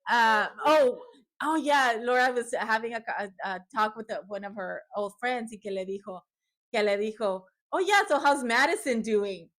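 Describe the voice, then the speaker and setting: A woman who grew up in California hosts a relaxed podcast conversation. Her fairly high voice comes with frequent laughter, and she slips between English and Spanish as she talks. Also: moderate pace. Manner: chatty, laughs often, switches into Spanish. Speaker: host. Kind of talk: casual podcast chat.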